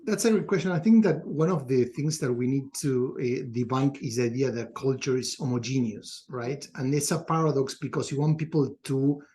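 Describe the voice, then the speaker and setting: A man's voice: mid-range voice, moderate pace, few pauses, mellow. A middle-aged man from Argentina with an Argentine Spanish accent, answering reflectively as a podcast guest in a conversational way. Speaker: podcast guest, culture consultant.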